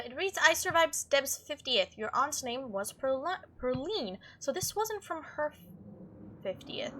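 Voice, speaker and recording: High bright girly gamer lady, super animated, reads game text n thinks out loud, bit of background noise. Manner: chatty, reactive, thinking aloud. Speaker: let's play host. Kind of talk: gaming commentary mixed with reading visual novel text aloud.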